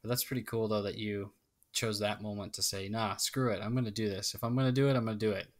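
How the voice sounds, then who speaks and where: A man's voice: on the low side, steady tone, fast talker. A man co-hosting a casual podcast, talking in a rambling way and often restarting his sentences. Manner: rambling, self-interrupting. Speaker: podcast co-host. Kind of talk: casual chat.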